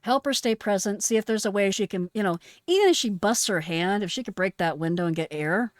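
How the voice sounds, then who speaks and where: A woman's voice: mid-range voice, expressive, brisk, dry recording, studio quality. A woman giving frank, instructive, emphatic commentary as a host and retired emergency dispatcher, analysing a call.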